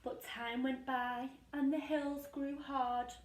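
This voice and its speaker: A woman reading a rhyming picture book aloud to young children, sing-song and expressive, with dramatic pauses. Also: sits high, a little hiss or hum. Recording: echoey room, distant from mic.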